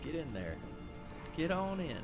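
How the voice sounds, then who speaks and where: A man's voice: fairly high pitch, measured pace, faint music behind. A man co-hosting a livestream, chatting casually and trash-talking the enemies in the game he plays. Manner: animated, swearing, trash-talks game enemies. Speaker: co-host playing a video game. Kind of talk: casual livestream chat and gameplay.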